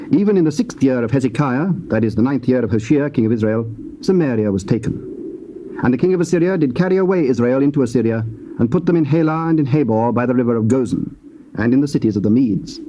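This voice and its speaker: A man with a British accent narrating scripture in a solemn, measured and formal way. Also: medium pitch, warm tone, low-quality recording. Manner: measured, formal, deliberate phrasing. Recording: old cassette with faint hiss.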